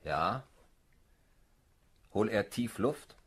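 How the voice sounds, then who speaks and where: Husky, curt army officer. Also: male voice, lowish voice, lively intonation, drawn-out words, a bit bright, heavily acted. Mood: brusque, commanding. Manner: commanding, curt, condescending. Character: brusque aristocratic army officer recruiting soldiers. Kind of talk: German radio drama acting.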